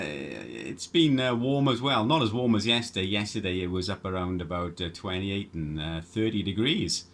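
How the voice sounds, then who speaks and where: A man's voice: lowish voice, a bit bright, slight background noise. A man chatting casually as an amateur radio operator, rambling and hesitant, often repeating himself. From Wales. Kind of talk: casual ham radio chat.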